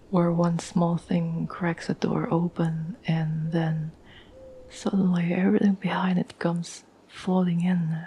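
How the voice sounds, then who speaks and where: A woman playing a caring, patient girlfriend in an ASMR roleplay, speaking in a soft, breathy whisper with a gentle, reassuring manner. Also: fairly low pitch, heavily acted, poor audio. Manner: gentle, slow, soothing, reassuring.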